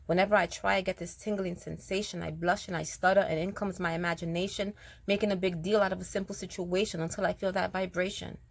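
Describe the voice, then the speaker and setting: A woman with a naturally raspy voice, a little rougher than usual, speaking earnestly to her listeners and reciting her own spoken word poem. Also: on the low side, no echo. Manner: earnest, direct, addresses listeners as y'all. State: raspier than usual, throat slightly rough.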